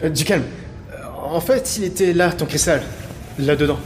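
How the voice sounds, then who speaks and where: A man's voice: a little high, moderate pace, close to an everyday voice. A man playing a small, cunning young thief, hesitant and cheeky, in an audio adventure drama. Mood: nervous, pleading. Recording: loud music behind the voice.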